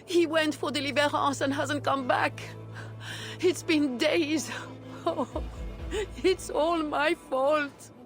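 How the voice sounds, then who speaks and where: High-voiced, fretful wife. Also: female voice, steady tone, slow pace, soft background music. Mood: distraught, worried. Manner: fretful, hesitant, pleading. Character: anxious, guilt-ridden, devout medieval wife. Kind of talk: video game dialogue acting.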